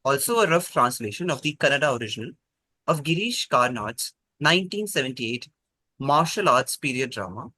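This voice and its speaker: A man hosting a quiz, reading questions out in a measured, precise, polite way. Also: medium pitch, very animated, pushed and bright, breathy edge, no room sound. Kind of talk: formal quiz question reading over an online call.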